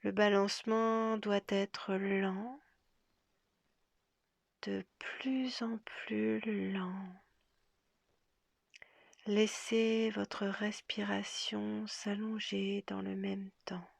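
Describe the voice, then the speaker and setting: A woman leading a guided relaxation as a yoga nidra guide, speaking softly and gently in a breathy half-whisper with long, measured pauses. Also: medium pitch, flat delivery, no room sound.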